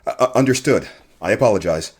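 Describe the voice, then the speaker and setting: An actor playing a nervous, deferential radio journalist in an audio drama, hesitant and stammering. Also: male voice, lowish voice, lively intonation, fast, husky. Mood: flustered, apologetic. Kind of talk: full-cast audio drama acting.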